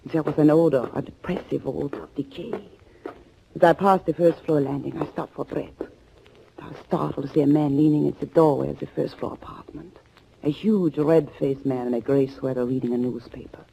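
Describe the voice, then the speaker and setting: An actress playing a determined German underground agent in a 1940s radio thriller, speaking in measured, formal phrases. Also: female voice, low voice, fairly even intonation, gravelly, mellow, slight background noise. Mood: grave, suspenseful. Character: German underground woman recounting a vengeance mission, grave and determined. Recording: muffled 1940s radio recording.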